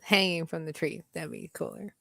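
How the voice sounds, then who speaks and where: A woman's voice: deep voice, lively intonation, moderate pace, creaky, a bit bright, no room sound, studio quality. A woman hosting a casual chat, reading out party questions and thinking them over aloud with hums and giggles, over a video call. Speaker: host.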